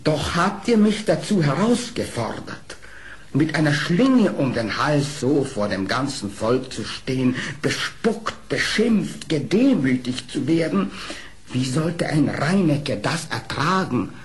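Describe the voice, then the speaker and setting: An actor playing a sly, proud, eloquent fox in a radio play, speaking in a theatrical, deliberate way. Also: male voice, a little high, big pitch swings. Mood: indignant, pleading.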